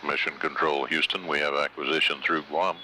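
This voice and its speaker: A man giving formal mission control status announcements in measured, halting phrases. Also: rumbling low, steady tone, pushed and bright, a little hiss or hum, poor audio.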